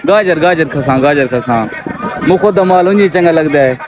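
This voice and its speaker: A man's voice: fairly high pitch, moderate pace, dull audio. A man with a Saraiki accent from Mianwali, chatting and joking as he walks through an outdoor market for his vlog. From Mianwali, Pakistan. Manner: joking, chatty, bargains with vendors. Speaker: vlogger. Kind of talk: casual vlog walk-through. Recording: noisy outdoor street sound. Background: street and traffic noise.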